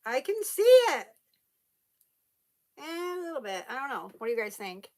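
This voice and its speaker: A woman's voice: sits high, very animated, normal speed, darkish tone. Young woman, slight lisp, casual chat, lots of ums and laughs, home vlog. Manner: chatty, laughs often, many fillers and restarts. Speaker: vlogger reading viewer comments.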